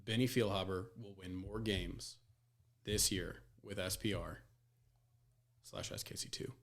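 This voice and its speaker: A man's voice: low-pitched, steady tone, a little slow. A man co-hosting a sports podcast, chatting casually. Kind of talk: casual chat. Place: recorded in person in a shared room.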